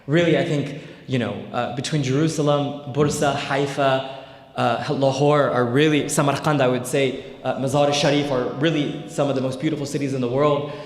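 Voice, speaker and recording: A man's voice: medium pitch, fairly even intonation, moderate pace. A young man giving an informal history lecture as an Islamic scholar, talking conversationally with many fillers and tag questions. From Bay Area, United States. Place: large echoey hall. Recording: echoey hall with long reverb.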